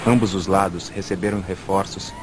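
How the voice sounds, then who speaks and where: A man's voice: deep voice, steady tone, normal speed, noisy background. A man with a Brazilian accent delivering scripted documentary narration. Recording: voice over loud music. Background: prominent background music.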